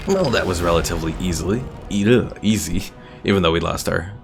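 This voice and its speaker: Low, creaky-voiced man streaming a game. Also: a little fast, over music. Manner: chatty, reading viewer comments aloud. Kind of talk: casual live gameplay commentary.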